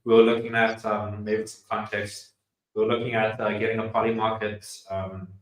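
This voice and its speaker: Man, South African accent, podcast host, casual, chatty, slightly low voice, roomy echo. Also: flat delivery, moderate pace. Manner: laid-back, chatty, lots of fillers and "like".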